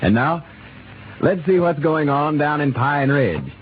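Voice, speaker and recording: A man announcing a 1930s radio program and reading its sponsor's ad in a smooth, salesmanlike way. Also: fairly high pitch, a little slow, over loud music. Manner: smooth, expressive salesmanlike delivery. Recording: tinny 1930s radio broadcast with hiss.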